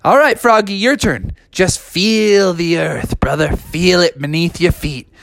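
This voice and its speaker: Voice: medium pitch, a little slow, creaky, a bit bright, no echo. A storyteller voicing a cool, breezy male cartoon hedgehog game hero, coaxing in laid-back slang for a children's story. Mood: instructive. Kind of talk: character voice in improvised children's story.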